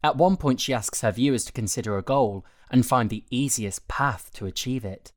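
A man narrating a written article in a measured, articulate way. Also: medium pitch, no room sound, studio quality. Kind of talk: scripted audio article narration.

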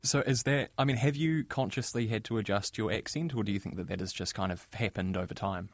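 A man's voice: fairly low pitch, a little fast, no echo, clean studio sound. A man with a New Zealand accent hosting a podcast, conversational and curious. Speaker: podcast host. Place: radio studio.

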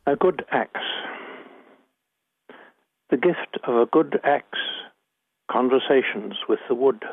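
Older Scottish-accented man, gravelly and husky. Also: medium pitch, no echo, narrow, tinny audio. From Northern Ireland. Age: 80s. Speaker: poet. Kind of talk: poetry reading and interview.

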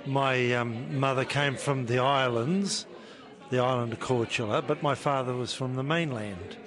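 A man's voice: medium pitch, measured pace. An older man talking casually about himself in an interview. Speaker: survey participant. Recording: crowd chatter behind. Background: crowd chatter.

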